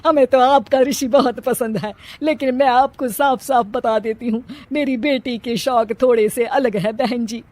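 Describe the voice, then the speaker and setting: A sensible middle-aged family elder played by a woman, fairly high in pitch and closely recorded without echo. The delivery is cautioning and matter-of-fact, in cartoon drama style. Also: steady tone, unhurried, hardly any pauses, darkish tone, close to an everyday voice.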